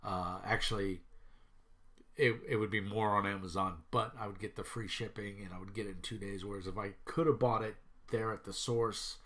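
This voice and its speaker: A man's voice: on the low side, steady tone, normal speed, fairly bright tone. A man in his thirties from Minnesota, stuffy with a head cold, rambling casually with many fillers as he reviews tabletop games for his channel.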